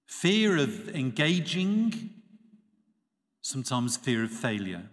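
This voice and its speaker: A man, a priest giving a measured, expressive Sunday homily at a church lectern. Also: mid-range voice. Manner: measured, expressive preaching with dropping phrase ends. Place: church sanctuary. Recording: reverberant church acoustics.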